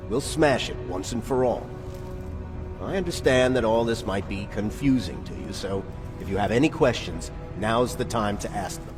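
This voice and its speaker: An actor playing a resolute resistance commander in a video game, speaking in a solemn, deliberate, authoritative way. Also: male voice, fairly low pitch, animated, lightly acted. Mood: grave, measured. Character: resolute adult male resistance commander, grave and measured. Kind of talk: scripted video game dialogue. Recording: music behind the voice.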